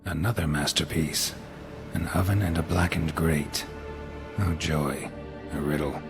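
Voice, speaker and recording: An actor playing a gruff, weary, sardonic monster hunter in a video game, with a terse, deadpan delivery. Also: male voice, a bass voice, a little slow, music in the background.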